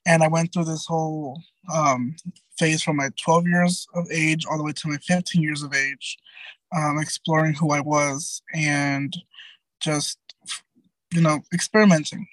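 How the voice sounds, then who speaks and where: A man's voice: steady tone, a little slow, fairly bright tone, no echo, poor audio. A young man around twenty, a guest in a casual interview, talking in a chatty way full of "like" and "um" with many restarts. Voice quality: light high-pitched voice.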